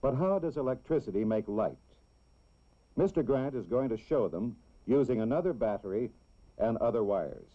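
An American man reads scripted narration in the style of a 1960s educational film, explaining things simply and deliberately for children. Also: medium pitch, animated. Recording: dull, lo-fi old film soundtrack.

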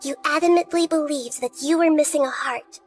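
A woman voices a little goddess of wisdom with a soft, high, childlike voice. She speaks deliberately and calmly, like a thoughtful sage in a small girl's body, over faint game music. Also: lightly acted. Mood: solemn. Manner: measured, philosophical, deliberate. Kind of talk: video game voice acting.

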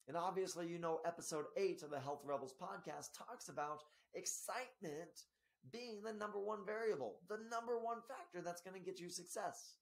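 Man, 30s, solo podcast host, slightly scratchy, higher-set voice, animated office delivery. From Spokane area, US. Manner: energetic, gestures a lot, frequent tag "right?". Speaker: podcast host and health coach. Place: small office in front of a banner.

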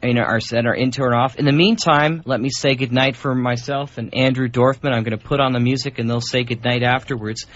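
A man hosts a radio show in a casual, chatty way, with an ordinary mid-pitched voice. He banters and rambles loosely through his points. The recording is a little dull and lo-fi. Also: fairly even intonation, brisk, few pauses, a bit bright.

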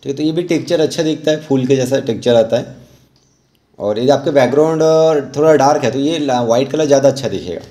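An Indian man giving an informal step-by-step tutorial voice-over as an instructor, halting and repeating himself as he explains. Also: mid-range voice, mellow. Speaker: rangoli art instructor.

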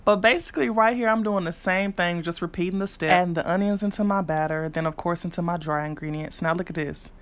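A young woman, talking low and loose as she cooks in her home kitchen. She's brash and chatty, swears freely, and talks straight to her viewers while walking them through each step. The sound comes from a camera mic over the countertop. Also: fast, few pauses. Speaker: cooking vlogger. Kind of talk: casual cooking walkthrough.

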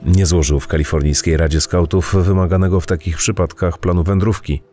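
A man playing a formal, measured spokesman for an organization in a dramatized true-crime podcast. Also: low-pitched, fairly even intonation, warm tone, soft background music.

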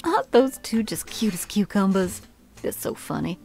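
Give me a flirtatious, teasing belle with a syrupy drawl. Her pitch swoops up and down playfully, sweet and coy, straight from a dating game. Faint music plays behind her. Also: big pitch swings, moderate pace, gravelly, darkish tone. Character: flirtatious Southern belle woman, sugary and teasing. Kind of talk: video game character dialogue.

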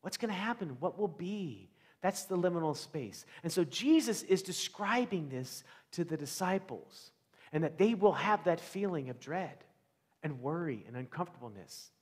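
A middle-aged American man preaching a conversational sermon, with animated storytelling, rhetorical questions and dramatic pauses. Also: on the high side, breathy edge. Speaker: pastor. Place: large church sanctuary, indoors, before a congregation. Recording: reverberant church hall.

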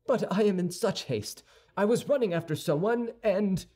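A man's voice: high voice, lively intonation, darkish tone, voice pushed up. A man voicing a hot-headed young male swordsman, talking in an urgent, indignant rush in a storybook reading. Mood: flustered. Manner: urgent, indignant, quick to take offense.

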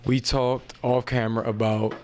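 A man hosting a post-fight interview at an indoor arena event, asking chatty, brisk questions into a handheld mic. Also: mid-range voice, level tone, slight background noise. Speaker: sports interviewer.

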